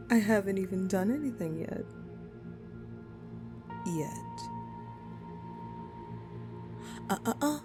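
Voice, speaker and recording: A woman playing a dominant, hungry female vampire in an audio roleplay, taunting and menacing her prey in a condescending, breathy voice. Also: a little high. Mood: mocking, playful. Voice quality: breathy, often dropping toward a whisper. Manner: slow, teasing, condescending, menacing. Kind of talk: audio roleplay acting, addressed to the listener.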